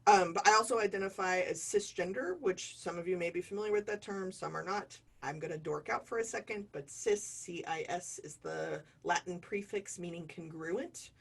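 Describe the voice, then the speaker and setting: A woman's voice: lowish voice, moderate pace, creaky, few pauses, slightly rough. Chatty self-deprecating woman in her forties. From United States. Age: 40s. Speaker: diversity trainer. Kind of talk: online training lecture.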